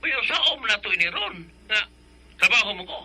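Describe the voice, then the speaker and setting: A man plays a working husband in a radio drama. His voice is very bright and tense, pitched a little high. He speaks in an offhand way and tries to reassure. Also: big pitch swings, fairly quick, breathy edge, heavily acted. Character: tired working husband, easygoing.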